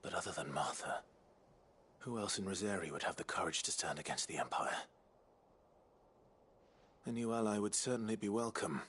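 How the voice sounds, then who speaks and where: An actor playing a solemn, courteous and determined traveler in a fantasy video game, with a measured, earnest delivery. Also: male voice, fairly bright tone, breathy edge. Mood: measured, serious. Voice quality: deep, low. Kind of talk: scripted video game acting.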